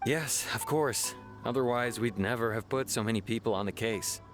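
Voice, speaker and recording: A man's voice: medium pitch, moderate pace, natural voice. A man voicing a stern, self-assured law officer in a video game who talks in a firm, matter-of-fact way. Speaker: official pursuing a suspect. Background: game music.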